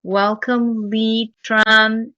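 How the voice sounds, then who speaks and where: A woman hosting a podcast, reading a guest introduction and asking questions in a measured, enthusiastic way, with a non-native English accent. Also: on the high side, flat delivery, bright, forceful tone, no echo, dull audio. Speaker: podcast host. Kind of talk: reading an introduction, then interviewing.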